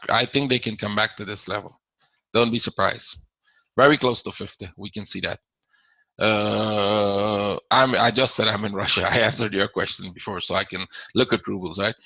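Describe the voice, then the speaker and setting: A man's voice: lowish voice, bright, forceful tone, no echo. A man with a Caribbean accent hosting a live trading webinar, answering viewer questions in a casual explaining manner with frequent repeated words.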